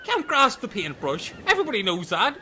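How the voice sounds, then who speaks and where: A man plays an indignant, dim-witted castaway in a comedy audio drama. The voice is high and very sharp, swinging excitably as he argues. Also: a little fast, slight background noise, full character voice.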